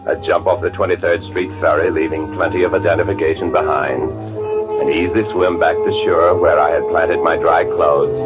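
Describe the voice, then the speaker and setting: Radio noir: male actor as menacing twin brother, deep, sardonic, deadpan, on a narrow-band vintage recording. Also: normal speed, loud music behind the voice, lightly acted. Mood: cool, matter-of-fact. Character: cold, menacing twin brother, a man narrating his murder. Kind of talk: old-time radio noir acting and narration. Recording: band-limited old radio drama recording.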